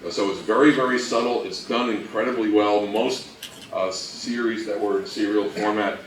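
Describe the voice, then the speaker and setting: A man giving an informal, explanatory talk on radio history as a presenter, speaking somewhat haltingly. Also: fairly low pitch, steady tone. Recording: roomy, distant mic, faint noise.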